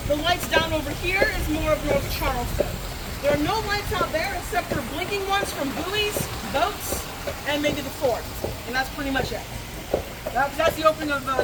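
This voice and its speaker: A woman from Michigan guides a ghost tour through city streets at night, her fairly high voice carrying dry, easygoing humor. She is captured on raw phone footage from a few steps away. Loud street noise, passing cars and clip-clopping hooves nearly drown her out. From Michigan, USA. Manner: relaxed storytelling with dry humor and asides to the group. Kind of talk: guided tour storytelling to a group. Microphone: audience phone recording the guide from a few steps away.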